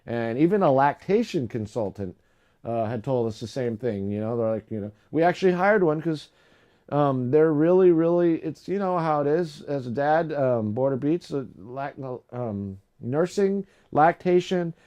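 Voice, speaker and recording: A young adult man hosting a livestream, chatting casually and rambling with lots of fillers and restarts, his voice low today. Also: lively intonation, moderate pace, mellow. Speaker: live stream host.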